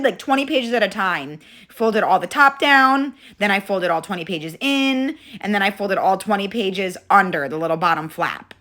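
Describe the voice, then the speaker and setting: Young woman host, congested and slightly hoarse, bright lively delivery, friendly tutorial talk. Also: medium pitch. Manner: chatty, friendly, step-by-step instructions with frequent "okay?". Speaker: craft channel host. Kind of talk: casual craft tutorial.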